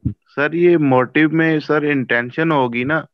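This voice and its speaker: A man's voice: on the high side, slow pace, no room sound. A man, a student deferentially explaining a point in an online class. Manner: deferential, keeps saying sir. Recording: dull online meeting audio.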